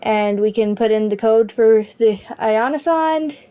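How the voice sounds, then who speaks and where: Slow-paced, mellow female co-presenter. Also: on the high side, clean tone. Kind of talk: casual demo commentary.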